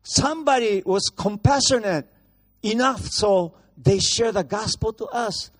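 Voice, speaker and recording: A man whose voice sits unusually high for a man. He preaches as a guest in a church, blunt and brash, slipping in jokes as he switches rapidly between languages. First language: Japanese. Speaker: guest pastor. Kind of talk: bilingual sermon.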